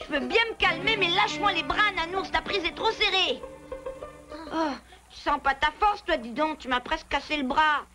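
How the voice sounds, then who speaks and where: Cartoon kid hero: feisty little beaver, very high voice, born leader, music underneath. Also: female voice, normal speed. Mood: annoyed, calming. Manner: feisty, decisive. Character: plucky, hot-headed young beaver child, natural leader. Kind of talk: French cartoon dub acting.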